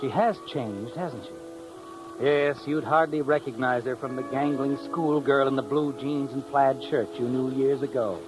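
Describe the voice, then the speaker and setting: A man's voice: medium pitch, moderate pace, natural voice, rough recording. An actor playing a suave, knowing male narrator who needles the listener in a 1950s radio suspense drama. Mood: knowing, suspenseful. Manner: knowing, needling, with tag questions. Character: suave, insinuating male narrator addressing the protagonist as "you". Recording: old radio recording with music.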